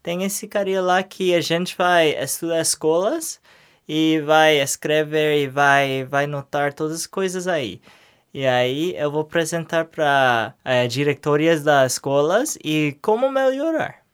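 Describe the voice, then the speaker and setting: Young man, halting speech. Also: a little high, clean tone. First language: English. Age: young adult. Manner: hesitant, searching for words in a second language. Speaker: interview guest. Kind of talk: casual interview answers.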